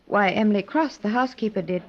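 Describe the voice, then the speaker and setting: A woman's voice: medium pitch, fast, lightly acted. Lady acting earnest proper widowed aunt, old radio drama, kinda muffled sound. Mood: earnest explaining. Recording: dull old radio recording.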